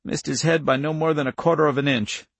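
A man's voice: fairly high pitch, fairly even intonation, fast, gravelly, no room sound, voice pushed up. A man voicing a wounded older farmer in an audiobook story, plainspoken, stern and stubborn, with folksy country talk. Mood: indignant. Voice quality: breathless.

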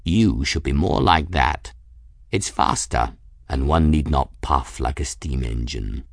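A man's voice: extremely low pitch, slow pace, vocal fry, no room sound, studio quality, voice pushed down, heavily acted. A man voicing a haughty, irritable male cat with a smug, condescending drawl in a storybook reading. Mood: impatient.

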